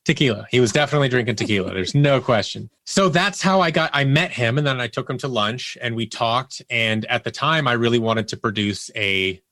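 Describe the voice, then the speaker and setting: A man chatting casually as an interview guest, telling stories in an animated way and slipping into quoted dialogue. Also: mid-range voice, fairly quick, hardly any pauses, dry recording, clean studio sound. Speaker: interview guest, audio drama producer. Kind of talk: casual conversational storytelling.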